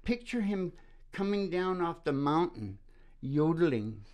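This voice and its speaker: A man's voice: a little high, big pitch swings, unhurried, darkish tone. A man chatting casually as host of his own music show, rambling, jokey and self-deprecating. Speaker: singer-songwriter and radio show host. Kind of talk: casual rambling chat between country songs.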